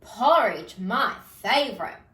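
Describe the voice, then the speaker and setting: A woman reader puts on a big bad fairy tale wolf, male and fussy. He is grumpy and exclaims in snarls. The delivery is slow, in an echoey room. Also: fairly high pitch, expressive, pushed and bright, distant mic, exaggerated voice. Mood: satisfied. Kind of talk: children's storybook character voice.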